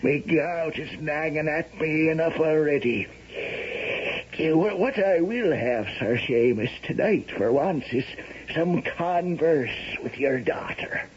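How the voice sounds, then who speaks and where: An actor playing a lecherous, vindictive old lord in a 1970s radio drama, pompous, imperious and menacing. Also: male voice, fairly high pitch, slow pace. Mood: gruffly impatient. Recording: dull vintage radio recording.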